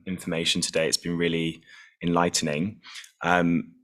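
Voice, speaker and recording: A man's voice: sits low, a bit bright. A man with a London accent asking a polite, earnest question at an online meeting over a video call microphone. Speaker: audience member asking a question.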